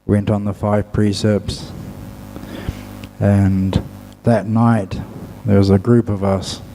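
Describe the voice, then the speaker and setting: Man, Buddhist monk teaching, fairly even intonation, slightly soft dark tone, measured phrases with long pauses, faint background hum. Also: on the low side, faint music behind, rough recording. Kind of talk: dhamma talk to an audience.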